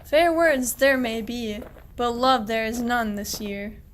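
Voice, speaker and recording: A woman's voice: on the high side, takes it slowly. A woman playing a suspicious, persistent shepherd, delivering pointed, wry archaic verse in a radio play, with light background noise. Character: suspicious, persistent shepherd hunting a stolen sheep.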